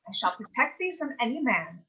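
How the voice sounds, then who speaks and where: Woman as bright-voiced, protective teenage babysitter. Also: on the high side, very animated, fairly quick, highs cut off. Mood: reassuring. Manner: formal archaic diction, reassuring. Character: earnest, protective, level-headed teenage girl babysitter. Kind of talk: staged reading, mock-Shakespearean dialogue.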